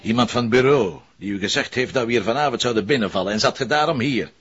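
An actor playing a sardonic, probing police commissioner in a Flemish audio drama, with a Flemish accent and an ironic, interrogating manner. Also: male voice, medium pitch, fast, a bit bright, breathy edge. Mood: suspicious. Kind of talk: naturalistic audio drama dialogue. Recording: dull, lo-fi broadcast copy.

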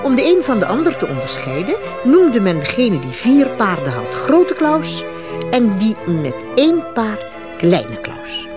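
A woman's voice: fairly high pitch, wildly swooping pitch, measured pace, over music. A woman narrating a fairy tale from a script as a storyteller.

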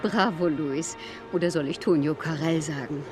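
An actress playing a poised, flattering society lady in a radio drama. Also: low voice, moderate pace, music in the background, close to an everyday voice.

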